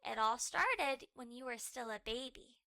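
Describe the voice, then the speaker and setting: A woman voicing a patient, candid, loving mother dog in a cartoon storybook, explaining and reminiscing with gentle wryness. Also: fairly high pitch, expressive, measured pace, vocal fry, exaggerated voice.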